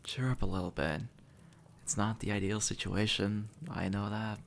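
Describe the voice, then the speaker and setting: A man playing an easygoing, centuries-old demon who was once human, speaking gently and casually to the listener in an intimate audio roleplay. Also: fairly low pitch, flat delivery, normal speed, gravelly, a little hiss or hum. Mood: reassuring. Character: easygoing two-century-old male demon, formerly human, reassuring and teasing.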